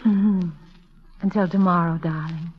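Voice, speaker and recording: A woman's voice: fairly low pitch, fairly even intonation, a little slow, natural voice. An actress playing an eager, romantic small-town young woman in 1940s radio style, with breathless, theatrical delivery. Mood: tender. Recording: dull vintage radio recording.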